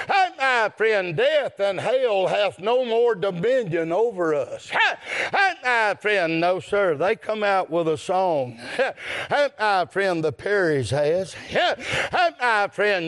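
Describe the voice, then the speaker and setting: High-pitched Southern preacher man in full swing. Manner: chanting cadence with loud gasped breaths between short phrases; repeats stock phrases as refrains. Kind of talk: fervent evangelical sermon.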